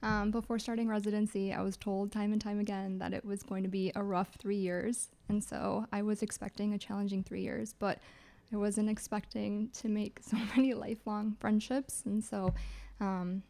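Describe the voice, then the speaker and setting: A soft-spoken woman, a graduating resident, giving a short, heartfelt thank-you speech. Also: mid-range voice, level tone, moderate pace, creaky. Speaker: graduating internal medicine resident.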